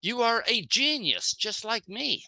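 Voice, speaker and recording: Very bright, animated seminar speaker. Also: medium pitch, slow pace, vocal fry. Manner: plain-spoken, acts out short example dialogues. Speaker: speaker giving a sales training talk. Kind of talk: seminar talk in short phrases, paused for an interpreter.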